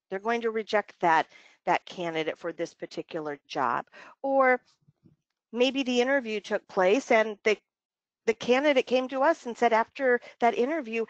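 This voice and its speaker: A woman leading a training webinar, talking through software steps conversationally, thinking aloud and repeating phrases for emphasis. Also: a little high, no room sound. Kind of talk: conversational step-by-step software walkthrough. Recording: dull, lo-fi dry webinar audio.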